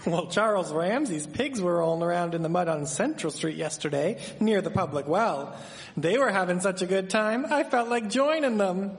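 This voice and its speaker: A man's voice: sits high, expressive, normal speed, slight background noise. Man acting a genial Victorian husband, echoey hall. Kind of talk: live radio drama acting. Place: performing arts theatre stage. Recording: echoey hall, live stage performance.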